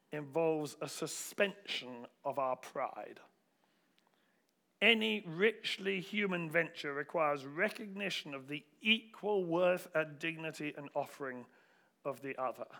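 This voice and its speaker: A man delivering a sermon with anecdotes, in deliberate, rhetorical phrasing. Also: fairly high pitch, expressive, pushed and bright. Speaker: preacher.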